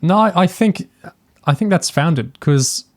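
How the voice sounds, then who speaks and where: A man's voice: sits high, animated, a little slow. A man co-hosting a podcast in casual discussion, tentative and musing as he thinks aloud. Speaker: podcast co-host.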